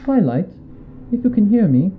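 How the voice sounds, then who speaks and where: A man's voice: high-pitched, sing-song, normal speed, narrow, tinny audio, a little hiss or hum, pitched above the performer's own voice. A man voicing a refined, fussy lady pony who speaks politely and anxiously in a storybook reading.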